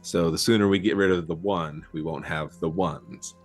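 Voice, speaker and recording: A man voicing a shady, cigarette-smoking man who asks for dark work with calm, quiet menace. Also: low-pitched, moderate pace, faint music behind, natural voice. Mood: sinister.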